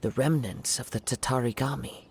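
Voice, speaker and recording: Measured, thoughtful young sleuth. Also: male voice, medium pitch, gravelly, husky. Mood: wary, focused. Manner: measured, deliberate, formal. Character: calm, thoughtful young male detective-like sleuth. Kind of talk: video game voice acting.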